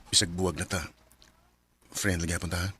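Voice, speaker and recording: A performer voicing a young male student in a radio drama, who breaks up gently with his girlfriend in a soft, pleading way. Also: low voice, little pitch movement, a little fast, lightly acted. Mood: apologetic.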